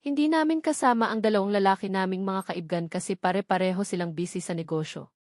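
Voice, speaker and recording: A woman's voice: mid-range voice, fast talker, darkish tone, no echo, studio quality. A woman with a Filipino accent reading a first-person novel as a scripted narration. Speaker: audiobook narrator.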